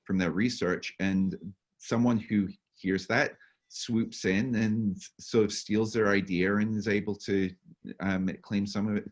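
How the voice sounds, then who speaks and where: Man, very deep voice, hoarse from a cough, halting, repeats words, video call. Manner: halting, many stammered restarts and fillers. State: hoarse, losing his voice with a cough. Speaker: workshop presenter. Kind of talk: academic Q&A answer on a video call.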